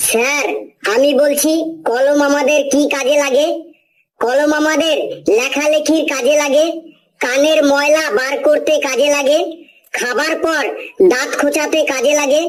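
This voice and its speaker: A performer voicing a cocky, slow-witted schoolboy with boastful, rustic phrasing in a comedy cartoon. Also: high-pitched, fairly even intonation, rough recording. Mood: earnest, silly.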